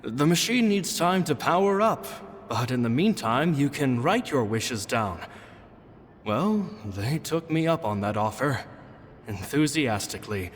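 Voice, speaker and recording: An actor playing a charming young male stage magician in a video game, talking in a relaxed, wry, gently amused way. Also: medium pitch, measured pace, a little hiss or hum. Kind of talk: video game voice acting, reading a journal entry. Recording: long hall reverb with faint music.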